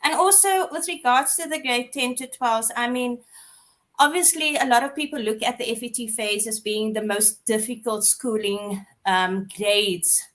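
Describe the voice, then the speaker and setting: A woman's voice: on the high side, moderate pace, fairly bright tone. A woman hosting a live online Q&A, reading out questions in a chatty way and passing them on. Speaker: host.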